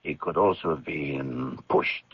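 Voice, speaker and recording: An actor playing a cool, probing police inspector in a radio mystery, raspy and formal in manner. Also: male voice, rumbling low, a little slow, no echo, exaggerated voice. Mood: stern, suspicious. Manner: formal, clipped, official. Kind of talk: radio drama acting. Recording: dull, lo-fi vintage radio recording.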